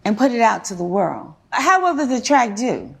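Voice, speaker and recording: A woman with a fairly high voice presides as a courtroom judge. She questions and lectures firmly, leaning on key words as she hands down her view. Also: big pitch swings, normal speed, vocal fry, breathy edge. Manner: stern, lecturing, emphatic.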